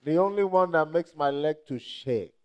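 A man's voice: fairly high pitch, moderate pace, darkish tone, smooth, lo-fi sound. A young adult man from Nigeria preaching a playful, charismatic sermon and rousing the crowd with call-and-response.

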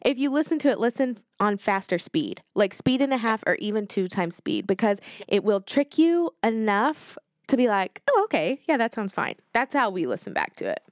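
A woman co-hosting a podcast in casual chat, animated and reflective, thinking aloud with fillers. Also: fairly high pitch, moderate pace, few pauses, no echo, clean studio sound. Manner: animated, reflective, talks things through with fillers. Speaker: podcast co-host.

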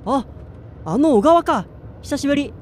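A man's voice: extremely high pitch, expressive, unhurried, darkish tone, slight background noise. An actor playing a listless, self-doubting man in his twenties in a radio drama, plain-spoken in narration and dialogue. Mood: casual, surprised. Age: 20s.

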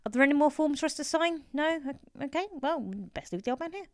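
Lady reader doing older bloke, brisk n practical, in a rush, storybook, dry sound. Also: high-pitched, no room sound, pitched above the performer's own voice, natural voice. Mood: hurried, brisk. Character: hurried grandfather-aged son of elderly man, practical.

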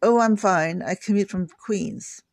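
A man voicing a blunt, deadpan male lab worker from Queens who gripes in a flat tone. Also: high voice, a little slow, dry recording, voice pushed up. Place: home kitchen table. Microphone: laptop setup.

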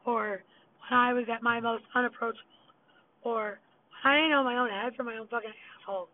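Hoarse profane woman venting on a tinny line. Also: a little high, fairly even intonation, fairly quick. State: losing her voice, hoarse. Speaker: podcast host. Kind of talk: unscripted solo rant. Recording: tinny phone-quality recording.

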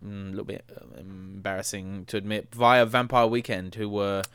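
A man's voice: on the low side, fairly even intonation, fairly bright tone, clean tone, no echo. A man co-hosting a podcast, chatting casually in a playful, teasing way and reading out an album review. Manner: playful, teasing, quick to laugh. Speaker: podcast co-host. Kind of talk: casual chat.

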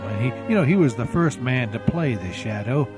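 A man's voice: mid-range voice, lively intonation, fairly quick. Male host chatting over music. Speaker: host of old-time radio show podcast. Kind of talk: chatty scripted-feeling hosting.

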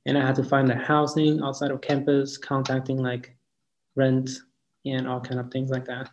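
A young man of about twenty, answering interview questions in a halting way, with lots of "like" and "um". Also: mid-range voice, completely flat delivery, a little mellow. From Cameroon. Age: around 20. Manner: halting, hedging with "like" and "um". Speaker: student interviewee. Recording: dull lo-fi recording.

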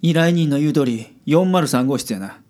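A man playing a gruff, businesslike private detective with a Kansai accent in a radio drama. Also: a little high, expressive, normal speed, darkish tone, close to an everyday voice. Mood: brusque.

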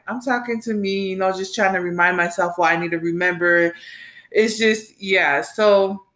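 An American woman in her late twenties, a mother and podcast host, talking through her week in a rambling, animated solo chat and laughing often. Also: on the low side. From United States. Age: late 20s. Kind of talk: casual solo monologue.

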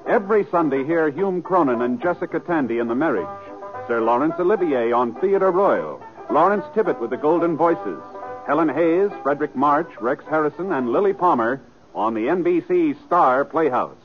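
A man's voice: on the high side, expressive, moderate pace, highs cut off. A man doing scripted 1950s radio announcing on an old broadcast recording. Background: loud music.

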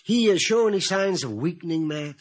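An actor playing a dry, curious older frontier town doctor in a 1950s radio western, with a questioning, wry drawl. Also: male voice, high-pitched, lively intonation, brisk, breathy edge.

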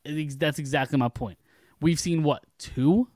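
A man's voice: on the high side, moderate pace, a little mellow, dry recording, studio quality. A young American man in casual sports talk as a podcast co-host, blunt and loose in the way he speaks.

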